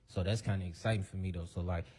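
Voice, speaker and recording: Radio host guy talking quick, laid back, lots of slang, low husky voice. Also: fairly even intonation, dark tone. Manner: laid-back slangy talk, "you feel me". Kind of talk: casual interview chat.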